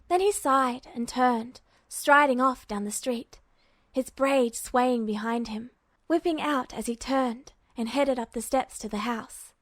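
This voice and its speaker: Woman reading fan fiction aloud, highish pitch, dry sound w no room echo. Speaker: fan fiction audiobook reader. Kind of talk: scripted story narration.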